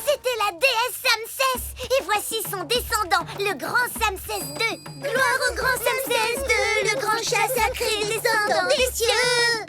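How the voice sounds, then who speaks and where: Squeaky, slow-paced little girl boar. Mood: worshipful. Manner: childlike, enthusiastic. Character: naive, eager little girl boar, adoring then indignant. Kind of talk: animated cartoon dubbing. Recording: loud music behind the voice.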